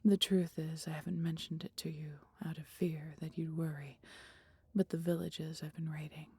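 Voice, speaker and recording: A woman voicing a caring, protective female dragon in an intimate, breathy ASMR roleplay, with gently formal, old-fashioned speech. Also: deep voice, level tone, moderate pace, dry recording, heavily acted. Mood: grave, reluctant. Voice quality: breathy, whispery.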